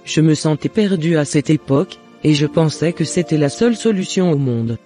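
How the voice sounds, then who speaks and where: Cartoon mother, middle-aged, remorseful and apologetic , male actor, mellow timbre, slightly raised pitch. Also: expressive, moderate pace, faint music behind, lightly acted. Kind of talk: scripted cartoon dialogue.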